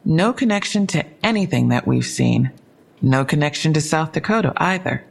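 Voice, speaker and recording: An actress playing a frank, inquisitive police investigator in an audio drama, matter-of-fact and wry, with a husky, creaky voice. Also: female voice, low voice, animated, normal speed, exaggerated voice. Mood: matter-of-fact, probing. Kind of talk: full-cast audio drama dialogue.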